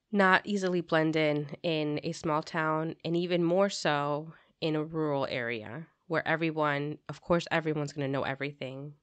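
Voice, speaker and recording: A woman hosting a casual podcast interview, with a notably low-pitched voice. She asks easygoing questions and relates them to her own life. The sound is dry and close, with no room echo. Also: a bit bright. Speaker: podcast host.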